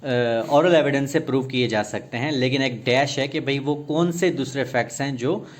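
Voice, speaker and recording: A man's voice: medium pitch, moderate pace. A man with a Pakistani accent teaching exam preparation, reading questions and options aloud and explaining them step by step while mixing in English terms. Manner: code-switches into English legal terms, paraphrasing step by step. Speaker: law exam tutor.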